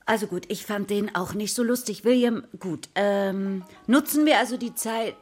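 A woman's voice: mid-range voice, normal speed, soft background music, lightly acted. A woman playing a patient, encouraging schoolteacher addressing a class in a naturalistic radio play. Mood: patiently firm. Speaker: primary school teacher.

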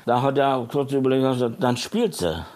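A man's voice: mid-range voice, lively intonation, fairly quick, darkish tone. An older man telling anecdotes from memory in an interview, as a former radio editor. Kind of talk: anecdotal recollection.

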